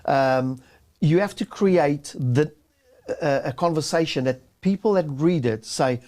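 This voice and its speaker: A man with a fairly high voice co-hosts a relaxed business talk. He speaks in halting bursts, often restarting and repeating words before getting his point out. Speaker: co-host. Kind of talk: casual business chat.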